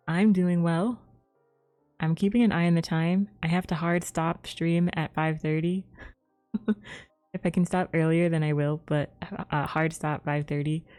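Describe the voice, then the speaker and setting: A woman with a low, mellow voice chats casually on a game livestream. She reacts offhandedly to the game and her viewers. Game music plays behind her. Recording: stream mic over game music.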